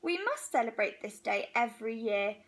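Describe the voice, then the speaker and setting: A woman's voice: a little high, lively intonation, normal speed. A woman voicing an astonished, amused elephant from a children's storybook, exclaiming with emphasis. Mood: amused, delighted.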